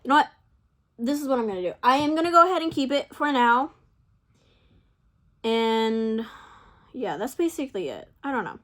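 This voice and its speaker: Young woman, hoarse, casual vlog chat, rambling, indecisive, fairly high voice. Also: expressive, normal speed, pauses often. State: losing her voice. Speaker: beauty vlogger.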